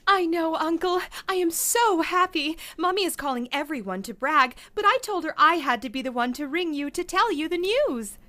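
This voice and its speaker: A woman playing an excitable niece in her early twenties, gushing and exclamatory, in a comic radio play. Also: very high voice, moderate pace, hardly any pauses, heavily acted. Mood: ecstatic.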